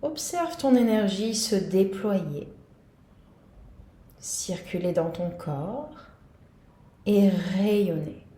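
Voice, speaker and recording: A woman guiding a yoga nidra meditation in a calm, gentle, soothing manner. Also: mid-range voice, extremely slow, pauses often, echoey room. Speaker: meditation guide.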